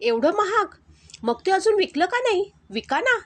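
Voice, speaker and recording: A woman's voice: very high voice, sing-song, normal speed, fairly bright tone, pitched above the performer's own voice, heavily acted. A woman voicing a greedy adult wife who nags and complains. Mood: eager. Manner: nagging, complaining.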